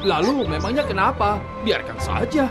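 Man as a lively, brash cartoon older brother. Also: high voice, normal speed, over loud music. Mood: dismissive. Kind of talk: Indonesian cartoon dub.

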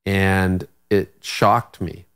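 A man in his fifties hosting a podcast interview, chiming in with quick, engaged remarks on a studio mic in a quiet room. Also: low-pitched, fairly even intonation, clean tone. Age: 50s. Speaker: podcast host. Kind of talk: casual conversational interview. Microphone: studio mic on boom arm.

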